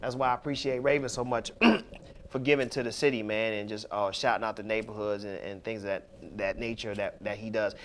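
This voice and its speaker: A man hosts a radio show from the studio in a loose, easygoing way, filling his talk with "you know" as he gives shout-outs. His voice sits on the low side, and a faint hiss runs underneath. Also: fairly even intonation, fairly quick. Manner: loose, filler-heavy, many "you know"s.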